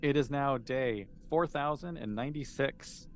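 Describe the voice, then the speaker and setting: A man acting as game master, improvising narration in a halting way as he thinks aloud. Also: medium pitch, soft background music.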